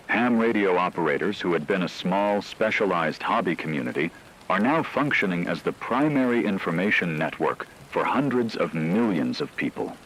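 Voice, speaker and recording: A man playing a grave, formal emergency radio newsreader, delivering scripted catastrophe reports in a measured, solemn manner. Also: sits low, fairly bright tone, slight background noise, exaggerated voice, low-quality recording. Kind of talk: scripted dramatic news narration.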